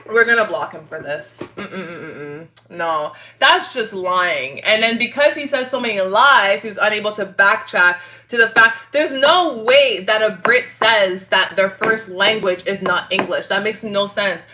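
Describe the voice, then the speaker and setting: A woman hosting a casual livestream, chatting to her viewers in an animated, giggly, slangy way. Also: medium pitch, a little slow, hardly any pauses, a little hiss or hum. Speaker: livestream host reading and answering viewer chat.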